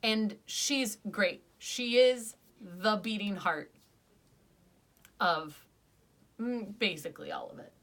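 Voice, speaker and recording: A woman's voice: medium pitch, takes it slowly, pauses often, fairly bright tone. A young woman hosting a casual, enthusiastic podcast review chat full of fillers. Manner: chatty, enthusiastic, many fillers. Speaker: podcast reviewer, channel host.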